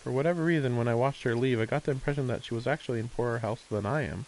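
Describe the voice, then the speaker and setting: A man's voice: medium pitch, very fast, darkish tone, a little hiss or hum, rough recording. A man with a mid-range voice reads a visual novel aloud on a live stream. He moves between narration and casual commentary. Faint rain-like game ambience sits behind his voice.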